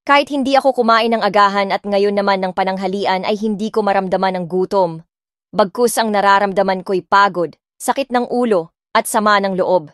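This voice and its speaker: A woman's voice: medium pitch, a little fast, no echo, clean studio sound. A woman with a Filipino accent narrating a romance audiobook in the first person, telling it with restrained emotion. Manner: emotional, restrained storytelling in Tagalog and English. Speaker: narrator and voice of the heroine. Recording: background music under the voice.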